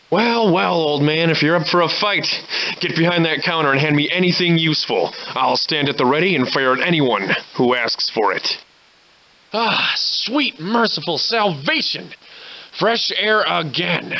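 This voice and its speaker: An actor playing a weary, wry Victorian gentleman adventurer in a video game, with florid, old-fashioned, formal diction. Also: fairly high pitch, poor audio. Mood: eager. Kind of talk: theatrical video game voice acting.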